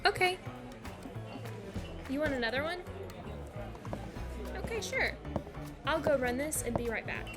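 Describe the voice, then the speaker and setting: A woman's voice: sits high, lively intonation, measured pace, pauses often, loud music behind the voice. A woman playing a perky, teasing bartender in an audio drama. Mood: friendly.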